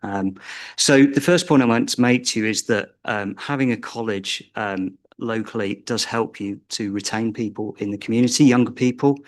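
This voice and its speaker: Hesitant man in his forties, lowish voice. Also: steady tone, normal speed. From Norfolk, England. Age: 40s. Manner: hesitant, many ums and restarts. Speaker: public speaker at a council meeting. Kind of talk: prepared public statement. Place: council chamber.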